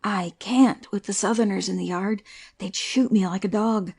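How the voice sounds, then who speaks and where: A woman playing a desperate, worn-out hunted soldier, pleading urgently in a husky, half-whispered voice in an audiobook play. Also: mid-range voice, fairly even intonation, normal speed, darkish tone. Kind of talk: amateur audiobook play acting.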